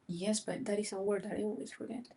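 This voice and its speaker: A woman's voice: mid-range voice, steady tone, fast talker, gravelly, dark tone, a little hiss or hum. A woman whose first language is Spanish, co-hosting a casual chat about dating, often restarting her sentences and repeating words. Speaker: podcast co-host.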